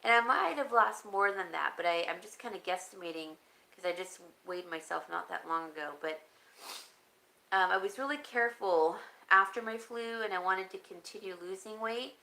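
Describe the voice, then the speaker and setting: A woman vlogger chatting casually and rambling, answering comments, her voice raspy and broken by frequent coughs. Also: medium pitch, normal speed, sharp tone, low-quality recording. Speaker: home decor vlogger. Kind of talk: casual live-stream chat.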